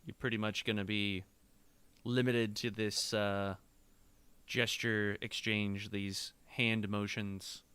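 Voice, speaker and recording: A man narrating a tabletop game as game master, describing things haltingly, step by step. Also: on the low side, little pitch movement, fairly bright tone, smooth, dry recording.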